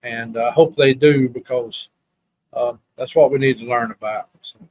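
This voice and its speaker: A man's voice: medium pitch, steady tone, moderate pace, a little mellow, poor audio. Folksy southern guy from alabama just chatting on a podcast, plain spoken and kinda rambly. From Alabama, USA. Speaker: podcast guest. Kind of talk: casual reminiscing chat.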